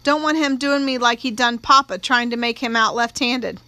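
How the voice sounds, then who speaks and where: Audiobook role: woman reader as scared, defensive country girl, nineteen, bright high voice, folksy grammar. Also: normal speed, no echo. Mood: tearful, scared. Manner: folksy, ungrammatical country speech. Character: young rural girl of nineteen, fearful, tearful, stubbornly defensive. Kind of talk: acted audiobook dialogue.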